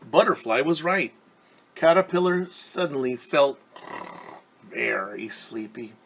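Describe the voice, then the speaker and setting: A man teaching young children through a story, reading aloud and explaining gently and patiently with questions. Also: medium pitch, expressive, slow, creaky, slight background noise.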